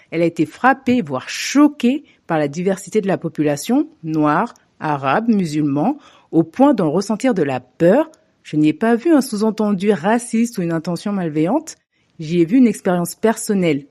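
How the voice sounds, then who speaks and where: A woman from Africa delivering a scripted opinion monologue to camera, measured and deliberate with pointed asides. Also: mid-range voice, mellow. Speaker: commentator.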